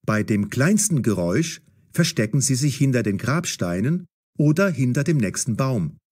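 A man narrating a scripted story with clear enunciation. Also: medium pitch, very animated, moderate pace, darkish tone.

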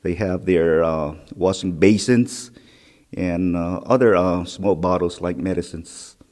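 Low-voiced middle-aged male guide, hesitant with lots of "uh", echoing cave. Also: fairly even intonation, darkish tone. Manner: halting, many "uh" fillers. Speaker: historic preservation officer. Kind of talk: on-site interview. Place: inside a rock cave. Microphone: handheld mic held close. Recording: long cave reverb.

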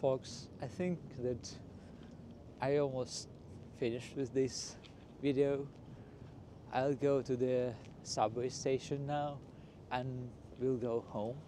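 Slow-talking man on a noisy street. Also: medium pitch, little pitch movement. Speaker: vlogger hosting a walking tour. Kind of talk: casual unscripted walking-tour narration. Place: outdoors on a city street at night, walking. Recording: noisy outdoor street with traffic. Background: street ambience and passing vehicles.

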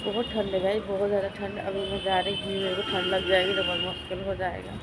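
A hoarse young woman chats to her viewers while she walks around the house holding her phone at arm's length. Clear background noise runs under her relaxed, chatty voice. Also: mid-range voice, fast talker. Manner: chatty, walking and filming herself while doing chores. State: hoarse sore throat. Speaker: vlogger. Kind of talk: casual vlog chat. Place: home kitchen and open rooftop. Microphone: phone held at arm's length.

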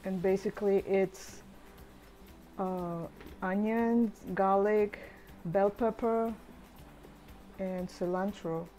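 A middle-aged woman calmly talking through her cooking steps in a chatty home tutorial voice-over. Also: medium pitch, slow, pauses often, faint music behind. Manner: relaxed, chatty step-by-step explaining. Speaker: home cook.

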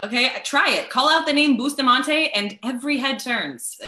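A brash, friendly new mother in a stage comedy, with a forceful, bright and fairly high voice. She is blunt, effusive and joking, heard in an echoey room over a video call. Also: measured pace, a little hiss or hum. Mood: chatty, blunt. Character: brash, foul-mouthed, friendly working-class new mother. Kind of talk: comedic play reading, naturalistic.

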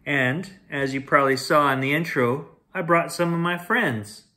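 A man in his forties reading a children's picture book aloud as a storyteller, deliberate and expressive. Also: a little high. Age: 40s. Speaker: storyteller reading bedtime stories. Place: small home room with shelves, indoors.

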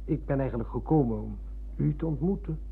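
An actor playing a modest, courteous and hesitant former pupil in a radio drama. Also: male voice, mid-range voice, a little fast, creaky, slightly rough. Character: polite, diffident male former pupil on a visit. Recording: muffled, hissy old radio recording.

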